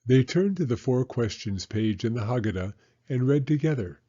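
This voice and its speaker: Animated man narrating, mellow and husky. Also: mid-range voice, moderate pace, lo-fi sound. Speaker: storybook narrator. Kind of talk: animated children's read-aloud narration.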